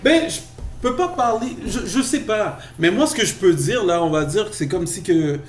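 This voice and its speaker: A man from Quebec with a Quebec French accent, co-hosting a podcast in casual talk, halting and restarting his sentences often. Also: high voice, expressive. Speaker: podcast co-host. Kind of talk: casual discussion. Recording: echoey room, faint noise.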